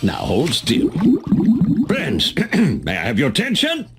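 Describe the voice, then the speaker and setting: An actor playing a pompous, fatherly con man of a sea creature in a cartoon game, with a husky voice and theatrical flourishes. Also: mid-range voice, wildly swooping pitch, slow pace, fairly bright tone, heavily acted. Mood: grand, proud. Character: grandiose, fatherly male driving-school swindler, a sea creature.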